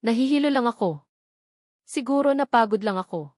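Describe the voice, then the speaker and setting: A woman with a Filipino accent voicing a gentle, hurt but forgiving young mother in a scripted audio drama, speaking hesitantly. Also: a little high, lively intonation, moderate pace, no echo, studio quality, close to an everyday voice. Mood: weary, uneasy.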